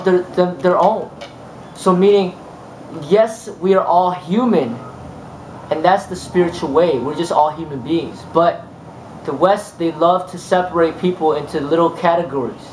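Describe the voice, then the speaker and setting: Martial arts teacher, man, slightly high voice, loose rambling talk, roomy sound. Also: a little hiss or hum. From United States. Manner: rambling, many fillers and restarts. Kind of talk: casual monologue.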